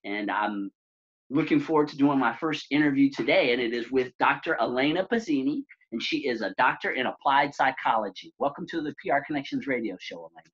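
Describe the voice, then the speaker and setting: A middle-aged man hosts an interview over a video call, his voice husky and slightly breathy. The call audio sounds dull and lo-fi, and he speaks in a measured, polished way with a few fillers. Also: medium pitch, lively intonation, a bit bright. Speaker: podcast host. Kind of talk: interview hosting. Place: office room with plain wall.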